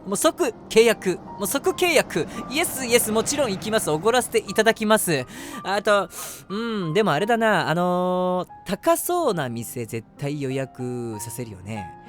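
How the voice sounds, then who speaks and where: Male actor as flustered young romantic lead, animated pitch swings, self-mocking, confessional voice-drama narration over music. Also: normal speed, hardly any pauses. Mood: eager, nervous. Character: lovestruck, self-deprecating, easily flustered young man, narrating his crush. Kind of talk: first-person situation voice drama, chatty narration with acted reactions.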